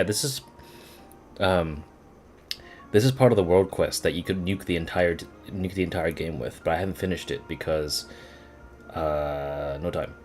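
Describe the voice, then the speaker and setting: A man's voice: sits low, darkish tone. A man streaming a game with casual live commentary, exclaiming and musing aloud to himself. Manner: exclaims and muses aloud to self. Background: game music.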